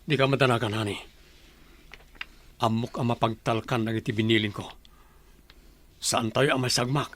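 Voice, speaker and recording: A man's voice: mid-range voice, fairly even intonation, moderate pace, long pauses, fairly bright tone, a little hiss or hum, lightly acted. Man acting a grim, stubborn vengeful husband. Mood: cold, resolute. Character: vengeful, stubborn husband, head of a guarded mansion. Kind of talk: radio drama acting.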